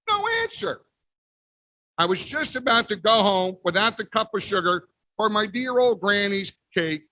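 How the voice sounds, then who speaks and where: A man reading a picture book aloud as the wolf, playful with big pauses. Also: high-pitched, bright, forceful tone, dry recording. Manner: playful, exaggerated sneezes and storyteller pauses. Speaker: guest reader. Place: library event.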